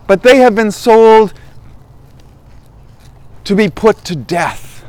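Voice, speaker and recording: A man voicing a humble, gentle queen in a Bible story, measured, earnest and deferential. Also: high voice, animated, dry recording. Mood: solemn pleading. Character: gentle, humble, pleading young queen, adult woman.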